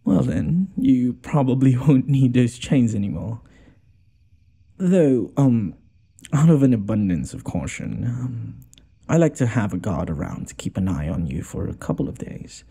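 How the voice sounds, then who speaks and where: A man playing a gentle, smitten noble lord in an intimate audio roleplay, hesitant and apologetic, stammering and sighing as he talks. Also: medium pitch, sing-song, a little slow, vocal fry, slightly rough, exaggerated voice. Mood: pleased, gentle.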